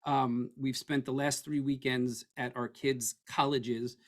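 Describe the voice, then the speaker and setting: A middle-aged man with a hoarse, froggy voice, presenting an estate planning webinar in a conversational way that keeps checking in with "okay?". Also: medium pitch, level tone, takes it slowly. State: hoarse, losing his voice.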